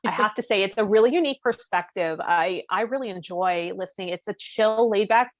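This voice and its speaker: A woman's voice: medium pitch, brisk, dry recording. Casual woman podcaster, dull dry recording. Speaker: podcast co-host. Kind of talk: casual chat. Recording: slightly dull, lo-fi sound.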